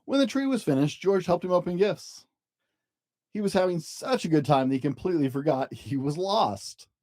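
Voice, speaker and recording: A storyteller reading a children's picture book aloud in an expressive, gentle way, as if to young children. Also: medium pitch, moderate pace.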